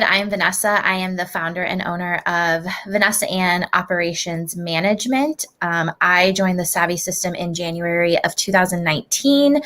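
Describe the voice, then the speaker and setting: A friendly woman with a slightly low voice introduces herself on a video call. She speaks at a measured pace. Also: fairly bright tone. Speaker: business owner guest. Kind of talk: casual self-introduction.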